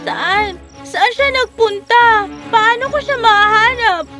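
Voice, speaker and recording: A woman's voice: very high voice, slow pace, over music. A woman voicing a determined young princess with dramatic delivery in a fairy tale cartoon. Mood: worried, determined. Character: determined young princess searching for her sister.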